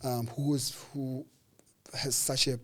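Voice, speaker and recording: A man with a South African accent telling a personal story in a casual interview, acting out the people in it and pausing on fillers. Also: medium pitch. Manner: animated, acts out dialogue with voices, hesitant fillers. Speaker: interview guest.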